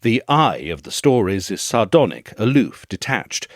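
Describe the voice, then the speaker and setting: A man reads a scripted literary essay in a measured, articulate and expressive way. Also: on the low side, dry recording, studio quality. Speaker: audio essay reader.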